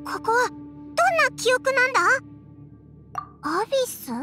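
A woman voicing a small, childlike fairy companion in an anime game, curious and excitable, with blunt wide-eyed questions. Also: very high-pitched, normal speed. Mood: puzzled, questioning. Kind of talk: Japanese anime game voice acting. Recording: music under the voice.

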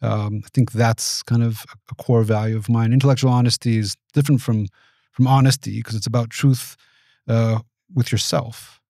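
Measured man in his forties. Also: medium pitch, fairly even intonation, creaky, dark tone, no echo, studio quality. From United States. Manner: measured, thoughtful, with frequent restarts. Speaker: guest, venture capitalist. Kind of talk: reflective interview answers. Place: small podcast studio. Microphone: studio mic on boom arm.

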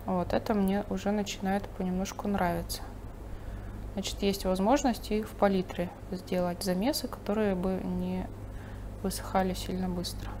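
Woman art teacher, calm running commentary while painting; recording has a clear hiss. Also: mid-range voice, moderate pace. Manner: thinking aloud while painting, calm and explanatory. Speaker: painting instructor. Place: studio table, overhead camera on the painting.

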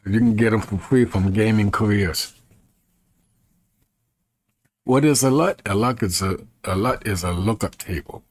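A man in his fifties with a husky voice, chatting casually to camera in a folksy way and repeating himself as he demonstrates. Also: lowish voice, normal speed, dry recording. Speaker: evangelist and video creator. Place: small bedroom, indoors.

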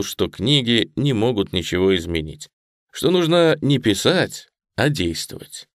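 Expressive male audiobook narrator, low voice. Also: dry recording, clean studio sound. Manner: reflective, expressive intonation. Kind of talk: first-person memoir narration.

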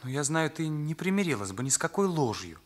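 An actor playing an earnest, indignant teenage son with an emphatic manner in a Soviet radio play. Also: male voice, fairly high pitch, very animated, a little fast. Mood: tender, probing. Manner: accusing, emphatic, morally rigid.

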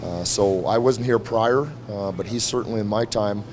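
A man's voice: fairly low pitch, moderate pace. Blunt middle-aged man, head coach. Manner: blunt, frank, repeats himself. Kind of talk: postgame press conference. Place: arena press room before a team backdrop. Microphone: several handheld mics held close.

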